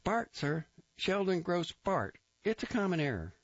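A man's voice: sits high, sing-song, unhurried, no echo, pitched above the performer's own voice, full character voice. A man voicing a persistent young army private who speaks in an eager, overly polite, pleading way. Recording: dull dry recording.

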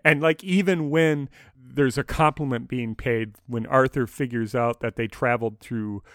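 A man hosting a podcast discussion, talking in a measured way with thoughtful pauses. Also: mid-range voice, fairly even intonation, fairly bright tone, no echo, studio quality. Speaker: podcast host.

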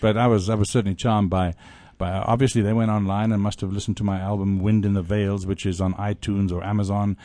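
Male radio host, low voice, casual on-air chat, close dry studio sound. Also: flat delivery, brisk, hardly any pauses, mellow, dry recording. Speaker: radio show host and storyteller.

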